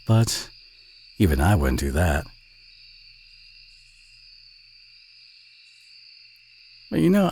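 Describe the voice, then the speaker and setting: Man acting a husky Southern country boy. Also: fairly low pitch, exaggerated voice. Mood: concerned, reassuring. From southern United States. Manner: folksy, gentle, hesitant, reassuring. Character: kind, earnest young country boy rancher, protective and bashful. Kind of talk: audio roleplay acting, addressed to the listener.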